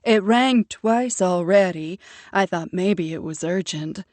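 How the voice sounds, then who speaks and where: A woman voicing a hurried young man who brings over a ringing phone, in an audiobook. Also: fairly low pitch, a little mellow, dry recording. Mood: urgent. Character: young male helper, hurried and eager.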